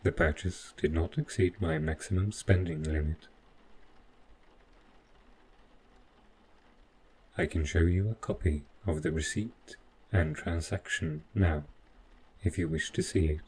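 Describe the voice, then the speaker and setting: A man playing a devoted, overprotective robot butler in a scripted audio roleplay, speaking in formal, measured, literal phrases. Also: sits low, lively intonation, a little mellow, a little hiss or hum, poor audio. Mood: dutiful, precise.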